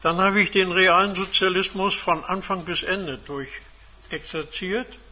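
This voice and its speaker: A man of seventy-five giving a measured, formal autobiographical talk to a seminar as a guest speaker. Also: sits high, fairly even intonation, bright, forceful tone. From Germany. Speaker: guest speaker, retired engineer and academic. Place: seminar room.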